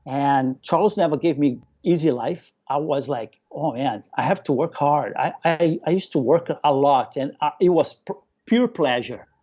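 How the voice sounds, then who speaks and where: Brazilian-accented man, mellow and enthusiastic. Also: on the high side, normal speed, dull audio. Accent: Brazilian with a local Hawaiian touch. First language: Portuguese. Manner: enthusiastic, repeats phrases, acts out remembered remarks. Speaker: guest, hobbyist aquarium keeper. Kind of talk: casual live interview storytelling.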